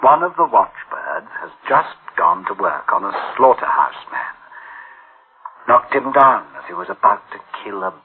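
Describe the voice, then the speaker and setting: An actor playing an uneasy, conscience-stricken boss in a radio drama, grave and halting as he broods. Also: on the low side, very animated, husky, narrow, tinny audio, heavily acted. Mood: grave, alarmed. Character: uneasy, conscience-stricken male boss dreading his machines. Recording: band-limited old radio drama sound.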